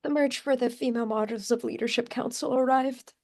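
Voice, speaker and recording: A woman playing a flustered, eager-to-please presidential secretary, nervous, halting and earnest, in a comedy play table read. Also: a little high, steady tone, darkish tone, lightly acted.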